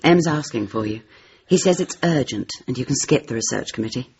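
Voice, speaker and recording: Low, husky, teasing English secretary. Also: female voice, animated, fairly quick, a little mellow, highs cut off, full character voice. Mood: teasing, fond. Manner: coy, playful, lilting. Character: poised, teasing female British secretary, fond and arch. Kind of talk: radio drama acting.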